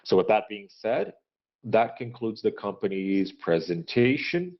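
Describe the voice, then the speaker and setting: A man giving a corporate earnings presentation in a halting, measured business manner, pausing often to hesitate. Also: on the low side, very animated. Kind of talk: corporate earnings call presentation and question answering, unscripted. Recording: dull, lo-fi conference call audio.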